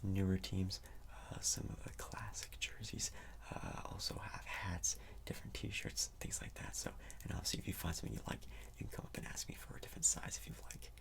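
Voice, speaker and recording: A man performs a soft-spoken ASMR roleplay as a shop clerk, mostly in a breathy whisper. When his voice surfaces it is low and heavily creaky. He rambles casually through his sales patter. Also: fairly quick. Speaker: ASMR performer playing a sports store clerk.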